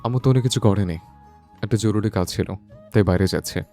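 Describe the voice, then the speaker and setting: Man playing a brooding lovestruck young man, low and dark. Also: a little fast, faint music behind, natural voice. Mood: evasive, subdued. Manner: earnest, insistent, English words mixed in. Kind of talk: audio love-story drama acting.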